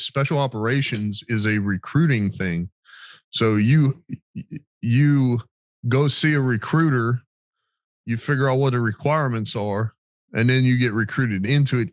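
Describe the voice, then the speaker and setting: A man's voice: mid-range voice, no echo. An American man hosting a veterans' podcast in casual, sweary, storytelling chat. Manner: informal, sweary. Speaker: podcast host, military veteran.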